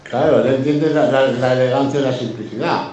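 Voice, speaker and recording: A man's voice: medium pitch, fairly even intonation, fairly quick, lo-fi sound, crowd noise. A man with an accent from Spain telling anecdotes casually, in an effusive, colloquial way with swearing. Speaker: guest. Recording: very echoey room, distant mic, faint noise.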